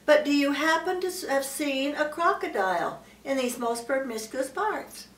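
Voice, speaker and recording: A woman's voice: sits high, expressive, normal speed, fairly bright tone, echoey room, recorded from a distance, voice pushed up. An elderly woman voicing a polite, naive young male elephant child in an earnest storybook reading. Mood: eager, polite.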